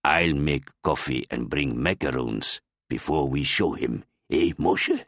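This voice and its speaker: A man's voice: a bass voice, takes it slowly, fairly bright tone, dry recording, clean studio sound, exaggerated voice. A man voicing a loyal, simple-minded old gardener, a barrel-chested man who talks plainly with broken grammar. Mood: earnest.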